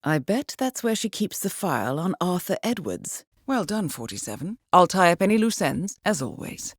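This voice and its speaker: A woman playing a cool, composed intelligence handler in a video game, giving mission briefings in a measured, formal, dryly witty manner. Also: fairly low pitch, very animated, slightly rough, no echo, studio quality. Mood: measured, informative.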